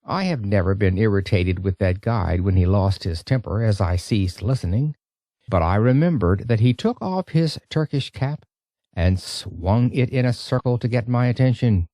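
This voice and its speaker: Animated, mellow male audiobook storyteller. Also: lowish voice, moderate pace, no echo, studio quality. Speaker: audiobook narrator. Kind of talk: expressive first-person storytelling narration.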